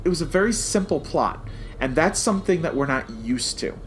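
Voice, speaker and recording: A man's voice: a little high, expressive, fairly quick. Chatty man podcaster, noisy background. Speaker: podcast co-host. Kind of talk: casual review chat. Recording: clear background noise.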